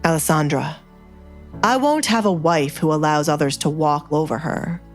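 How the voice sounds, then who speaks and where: Woman voicing a low, commanding duke. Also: moderate pace, soft background music, lightly acted. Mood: stern. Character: commanding, unyielding male duke, stern protector.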